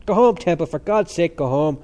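A man voicing a rough, scornful young male student who swears bluntly and loudly. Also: fairly high pitch, expressive, fairly quick, voice pushed up, lightly acted. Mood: exasperated.

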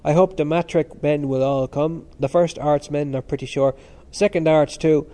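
A man voicing an earnest male college prefect with a soft, querulous voice. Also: a little high, steady tone, moderate pace, lightly acted.

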